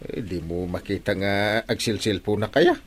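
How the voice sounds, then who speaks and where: An actor with an Ilocano accent playing a bumbling, boastful, cranky village captain in a radio comedy, blustering through many interjections. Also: male voice, on the low side, moderate pace, natural voice. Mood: grumbling. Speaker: comic radio drama actor.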